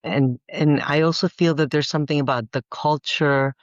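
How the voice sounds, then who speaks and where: A woman's voice: low voice, steady tone, moderate pace, dry recording, muffled recording. A woman, speaking as an architect guest in a thoughtful, earnest way. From Costa Rica. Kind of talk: reflective interview talk.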